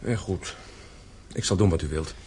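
An actor in a radio play playing an easygoing, sceptical young son, questioning and reluctant in manner. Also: male voice, deep voice, moderate pace, darkish tone, breathy edge. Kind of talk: Dutch radio play acting.

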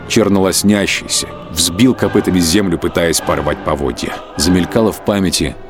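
A man giving a measured, dramatic literary narration of a war story for an audio drama. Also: deep voice, over music.

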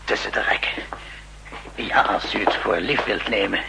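An actor playing a fussy, obsequious male shopkeeper in an old Dutch radio drama, hesitant, deferential and hushed. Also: fairly low pitch, moderate pace, creaky, in a whisper, rough recording. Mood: servile, polite. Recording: 1960s radio recording with faint hum.

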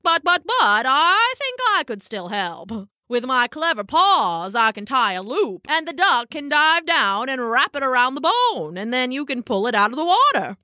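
A woman voicing a pompous, theatrical male raccoon from a storybook, with florid, drawn-out exclamations. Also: high-pitched, big pitch swings, sharp tone, no echo, studio quality, full character voice. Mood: eager. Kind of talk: storybook character voice.

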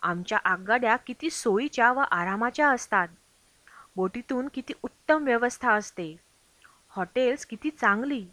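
A woman's voice: fairly high pitch, lively intonation, normal speed, a bit bright, no echo. A woman audiobook reader voicing an opinionated, boastful American male traveller who disparages what he has seen. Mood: boastful, scornful.

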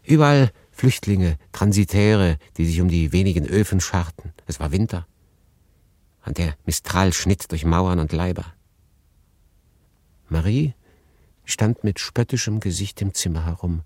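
Deep, husky, brooding refugee narrator. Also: male voice, unhurried, darkish tone, no room sound, studio quality. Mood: reflective. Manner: pensive, understated. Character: brooding male refugee narrator, melancholy and detached. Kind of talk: first-person radio drama narration.

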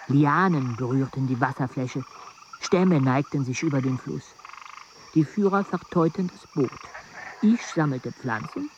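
A man's voice: mid-range voice, unhurried, a little hiss or hum, close to an everyday voice. An actor playing a dry, sardonic male botanist narrating an expedition in a radio drama. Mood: measured narration. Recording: dull sound over loud outdoor ambience.

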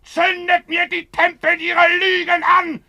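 An actor playing a savage, gleeful pirate captain in a radio play, gloating theatrically and relishing destruction. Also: male voice, extremely high pitch, completely flat delivery, measured pace, gravelly, pushed and bright, dry recording, dull audio, full character voice. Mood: frenzied command. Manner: theatrical, gloating, swinging from murmur to bellow. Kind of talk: German radio play acting.

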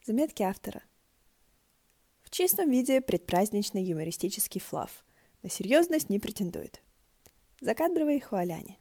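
A woman reading fan fiction aloud as an expressive audiobook narration. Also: medium pitch, moderate pace, long pauses, husky, dry recording.

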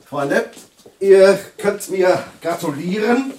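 An actor playing a vain, boisterous writer in a German radio drama, with a pompous, declamatory delivery. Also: male voice, sits high, expressive, takes it slowly, darkish tone, a little hiss or hum. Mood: jovial, boastful. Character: vain, boisterous male hack writer, self-important.

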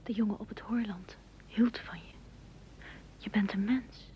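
An actress in a radio play voicing a lonely, wistful blade of grass that asks questions in a hushed, breathy whisper. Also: female voice, medium pitch, fairly even intonation, measured pace, natural voice. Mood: questioning, wistful. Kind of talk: whispered radio drama acting. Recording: noisy old radio play recording.

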